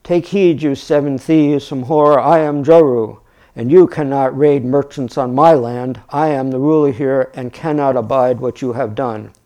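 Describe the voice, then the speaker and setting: A man's voice: medium pitch, moderate pace, mellow, close to an everyday voice. A man reading aloud as a small, wild boy hero from a storybook, imperious and menacing in his declarations. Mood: booming command.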